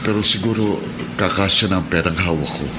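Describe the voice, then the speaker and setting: A man's voice: low-pitched, brisk, noisy background, lightly acted. An actor playing a devout, troubled suspended policeman and devoted husband in a Filipino radio drama, earnest and respectful. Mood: anxious, grateful.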